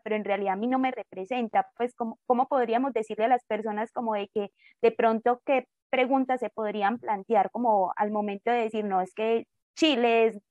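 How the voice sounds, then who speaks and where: A woman's voice: a little high, a little mellow, no room sound, lo-fi sound. A woman interviewer asking long, rambling questions full of fillers. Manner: rambling, filler-heavy "como que".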